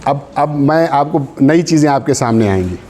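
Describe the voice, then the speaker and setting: A man's voice: on the high side, animated, normal speed, mellow. A man with a Pakistani accent giving a law lecture, reading out provisions and explaining them didactically, with short checks for understanding. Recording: faint background noise.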